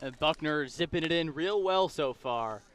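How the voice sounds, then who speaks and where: A man's voice: fairly high pitch, expressive, moderate pace, a bit bright, a little hiss or hum. A man with an American accent giving conversational color commentary and analysis during a baseball radio broadcast.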